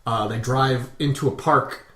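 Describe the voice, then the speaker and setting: Male podcast co-host, mid-pitched, informal conversational delivery, noticeably roomy sound. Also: fairly even intonation, unhurried, fairly bright tone. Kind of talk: casual chat. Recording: echoey room.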